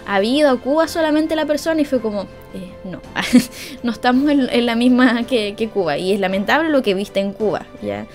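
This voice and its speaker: A woman's voice: a little high, a little fast, faint music behind. Chatty Chilean woman, laughing storyteller. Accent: Chilean Spanish. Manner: chatty, laughs often, acts out quoted lines. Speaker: podcast host. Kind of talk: casual storytelling monologue.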